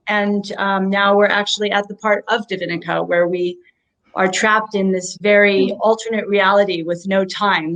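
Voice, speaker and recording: Guest: comic writer woman, mid-pitched, measured, fills pauses with ums. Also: fairly bright tone, rough recording. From Japan. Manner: thoughtful, explains with many ums. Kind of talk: casual interview.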